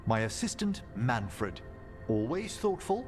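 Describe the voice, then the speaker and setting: An actor voicing a courtly, kindly, middle-aged professor of necromancy in a fantasy game, with formal and eloquent theatrical delivery. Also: male voice, mid-range voice, very animated, takes it slowly, soft background music. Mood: courteous. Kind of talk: fantasy video game dialogue.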